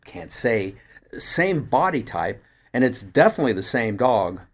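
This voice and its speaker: A man's voice: mid-range voice, very animated, measured pace. A man voicing an eager, persuasive amateur sleuth in the dialogue of a first-person story reading.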